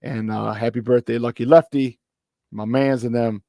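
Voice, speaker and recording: A man hosting a casual morning sports talk show, his voice a little hoarse, repeating words for emphasis and laughing often. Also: medium pitch, fairly even intonation, normal speed, mellow, dry recording, clean studio sound. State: slightly hoarse. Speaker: sports talk radio host.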